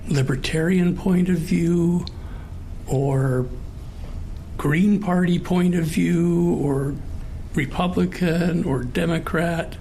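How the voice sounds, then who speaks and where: An American man in his late sixties speaking in a halting, thoughtful, earnest way in a personal conversation. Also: on the high side, a little hiss or hum, rough recording. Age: 60s. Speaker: participant in a recorded one-on-one conversation.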